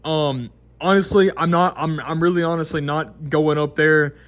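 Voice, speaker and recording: A man's voice: fairly high pitch, steady tone, normal speed, fairly bright tone. A twenty-year-old man, talking casually to camera in a rambling, unscripted way full of restarts and fillers. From Mississippi, American South. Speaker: vlogger.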